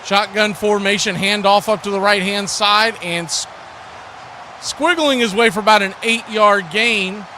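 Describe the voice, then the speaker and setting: A man hosts a live football stream as play-by-play announcer. His voice sits high for a man and has a bright, forceful edge. He talks in short calls with folksy asides, over faint background noise. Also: measured pace.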